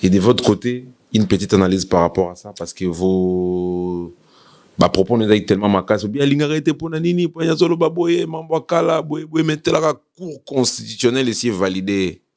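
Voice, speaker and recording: A man with a Congolese accent hosting a political interview, putting questions in halting phrases. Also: lowish voice, darkish tone. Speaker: interviewer, host.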